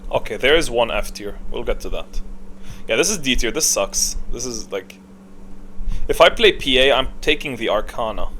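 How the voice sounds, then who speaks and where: A man with a medium-pitched voice talks through a gaming tier list. He is casual and opinionated, thinking aloud in a halting, unscripted way. A faint layer of noise sits behind his voice. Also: animated, pushed and bright. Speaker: video creator, gaming commentator.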